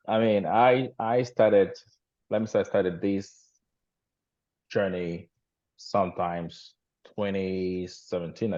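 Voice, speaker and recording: A man from Cameroon in his forties, a guest agency leader telling his story on a team video call, halting with fillers and restarts and then growing emphatic. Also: sits low, a little mellow, lo-fi sound.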